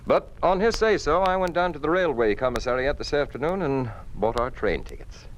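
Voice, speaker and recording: An actor playing a fond, teasing middle-aged American professor in a playful 1950s radio drama style. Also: male voice, a little high, animated, fairly quick, close to an everyday voice, low-quality recording. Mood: playful, eager. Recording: hissy 1950s radio recording.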